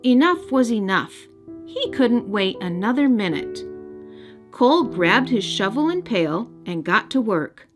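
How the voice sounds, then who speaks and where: A woman reads a children's story with lively, swooping intonation. It is an expressive scripted narration with music clearly behind her. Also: a little high, unhurried.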